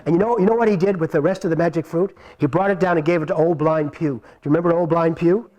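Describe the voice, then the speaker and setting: A man's voice: fairly high pitch, fairly even intonation, quick pace, a little mellow, dull audio. A man telling a folk tale to a live audience, playful and addressing listeners directly. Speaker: storyteller. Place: outdoor marketplace performance.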